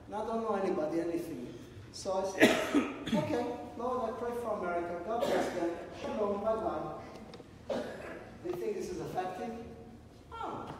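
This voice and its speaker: A middle-aged man with an Israeli accent, a native Hebrew speaker, preaching a lively sermon and asking his congregation questions as he moves around the stage. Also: high voice, slight background noise. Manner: animated, paces the stage, asks the congregation questions. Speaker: guest preacher. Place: large sanctuary with stage and pulpit. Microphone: room mic from a far camera. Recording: echoey hall from far off.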